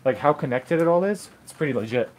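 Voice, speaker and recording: A man's voice: on the high side, animated, fast talker, darkish tone. A man in his thirties chatting loosely as he works, full of fillers and restarts. He sounds like he's explaining on the fly, talking to viewers from a renovation site through a phone camera. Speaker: tile installer streaming his work. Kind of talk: casual live-stream how-to chat. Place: bathroom renovation site and snowy driveway.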